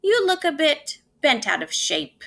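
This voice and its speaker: A gentle storybook mom spoon, as a woman reader puts her on. She speaks slowly in a bright voice, soothing and agreeing with her child. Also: high voice, big pitch swings, vocal fry, voice pushed up, exaggerated voice. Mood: concerned. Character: gentle, reassuring mother spoon. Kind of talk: children's storybook read-aloud.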